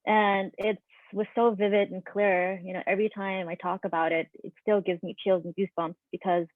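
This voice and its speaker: A woman's voice: mid-range voice, level tone, moderate pace, no echo. Earnest woman on a tinny call. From United States. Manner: reflective, earnest, frequent ums. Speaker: restaurant owner and chef. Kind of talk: panel discussion storytelling. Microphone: video call. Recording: dry, phone-like video call audio.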